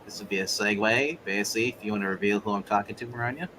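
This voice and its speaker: A man in casual tabletop game chat, narrating in a rambling, joking, animated way. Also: on the low side, very fast, noisy background. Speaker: player narrating in a roleplay game.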